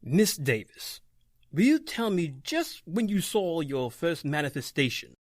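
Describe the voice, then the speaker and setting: A man's voice: on the high side, big pitch swings, unhurried, no echo, studio quality. Solemn older man, swooping delivery. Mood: probing. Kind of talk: old-time radio horror acting.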